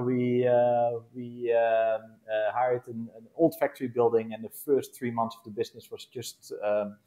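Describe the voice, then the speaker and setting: A man with a Dutch accent, a glamping business founder answering interview questions in a casual, hesitant way, often pausing to find words. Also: mid-range voice, darkish tone. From Netherlands. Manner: hesitant, searching for words with many pauses.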